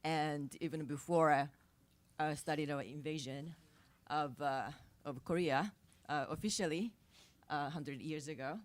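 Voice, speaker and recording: Soft-spoken low-voiced Japanese woman. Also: a little slow. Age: 50s. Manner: hesitant, many fillers and restarts, soft-spoken with nervous laughs. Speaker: panelist at a press conference. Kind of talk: unscripted press conference remarks. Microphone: podium microphone.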